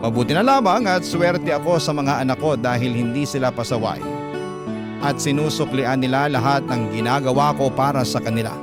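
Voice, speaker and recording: A man's voice: medium pitch, a little fast. A man narrating an emotional first-person story as a radio storyteller, reading from a script. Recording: loud guitar music bed. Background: guitar music.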